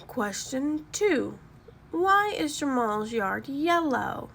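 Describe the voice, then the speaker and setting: A woman reading aloud to children like a teacher, expressive and deliberate, explaining and asking questions. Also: high voice, creaky, a little hiss or hum.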